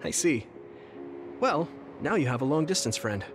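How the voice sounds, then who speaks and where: Earnest young soldier hero. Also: male voice, a little high, expressive, normal speed, music in the background. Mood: gentle, reassuring. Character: kind, protective, earnest young male soldier comrade. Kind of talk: anime-style video game dub acting.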